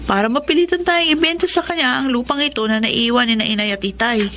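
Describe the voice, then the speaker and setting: A woman's voice: fairly high pitch, fast talker, a bit bright, slight background noise, natural voice. A woman with a Filipino accent playing an earnest, protective young older sister in a radio drama. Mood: earnest, worried. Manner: earnest, cautioning.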